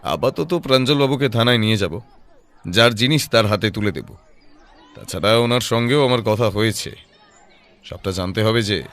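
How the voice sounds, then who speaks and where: A man playing a composed, sharp-witted private detective in an audio drama, reasoning in a measured voice with rhetorical questions. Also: fairly low pitch, some chatter behind. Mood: analytical. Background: background music.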